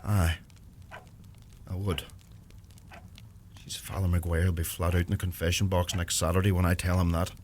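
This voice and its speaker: A young farmer with a Donegal Irish accent , played by a man in a radio play, speaks in a fairly low voice. He is earnest, brooding and plain in his words, a man torn by guilt. Clear ambient noise sits behind him. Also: little pitch movement, moderate pace, pauses often, a little mellow, lightly acted. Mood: flustered.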